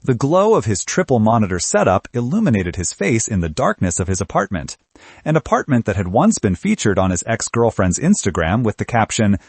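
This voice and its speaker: A man reading a scripted novel aloud in a wry, animated narrator's style. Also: fairly low pitch, slightly rough, dry recording. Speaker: audiobook narrator.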